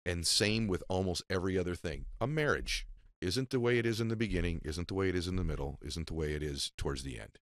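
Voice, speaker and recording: A man's voice: sits low, animated, fast, no echo. A man in his fifties hosting an interview, speaking emphatically and motivationally into a studio mic. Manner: emphatic, hand-gesturing, motivational. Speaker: podcast host. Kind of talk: reflective interview talk. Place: radio studio. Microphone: studio boom mic, close.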